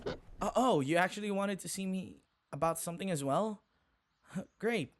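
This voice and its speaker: A man's voice: high voice, a little slow, slight background noise. A man playing a timid, submissive human servant in an audio roleplay, speaking hesitantly and deferentially with stammers. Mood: flustered, eager. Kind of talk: audio roleplay acting, one-sided dialogue.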